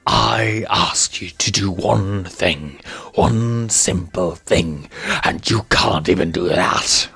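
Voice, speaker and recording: A man's voice: low-pitched, creaky, sharp tone, husky, soft background music, exaggerated voice. A man voicing a menacing, contemptuous villain lion in a storybook, drawing out his theatrical threats. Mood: furious, menacing. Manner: slow, drawn-out, theatrical threats.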